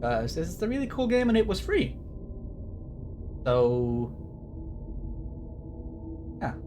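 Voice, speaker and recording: A man's voice: fairly high pitch, sing-song, slow, noisy background. A man streaming a game with casual, rambling commentary, wry and casually profane. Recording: stream with game music behind.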